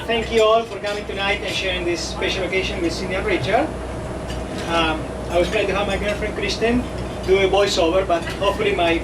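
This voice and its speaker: A man's voice: high voice, steady tone, fast talker. A man with an Argentine accent giving a toast at a wedding, friendly and a little hesitant. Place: wedding reception, indoors. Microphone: camcorder from across the room. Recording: noisy home camcorder audio from far off.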